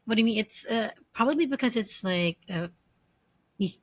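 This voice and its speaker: A woman's voice: a little high, moderate pace. A woman in her early thirties from the Philippines, co-hosting a casual chat, giggling often and asking curious questions. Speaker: podcast co-host. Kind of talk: casual chat between friends.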